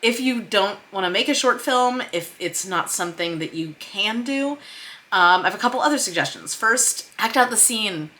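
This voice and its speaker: Bright-voiced woman giving an informal explainer. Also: medium pitch, lively intonation, moderate pace. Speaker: screenwriter and director teaching script revision. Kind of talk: informal explainer vlog about script revision.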